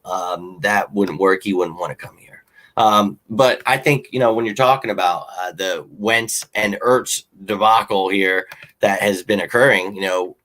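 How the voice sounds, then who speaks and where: Slightly hoarse, rambling male fan host. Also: low voice, fairly bright tone. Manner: rambling, lots of ums and uhs; opinionated, fan-like. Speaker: host of a sports fan show. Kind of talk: casual sports talk with viewer comments.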